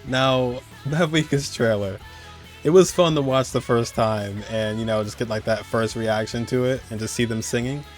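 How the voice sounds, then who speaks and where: A man's voice: mid-range voice, normal speed. A man in his twenties giving casual, rambling commentary as he hosts a video countdown. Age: 20s. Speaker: video host. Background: music under the voice.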